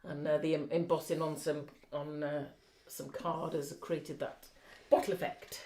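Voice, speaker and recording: A woman with a fairly low voice chats casually as co-host of a live craft show. She is encouraging and appreciative. The sound is noticeably roomy and echoing. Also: flat delivery, measured pace, warm tone, slight background noise. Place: indoor craft room. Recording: echoey room.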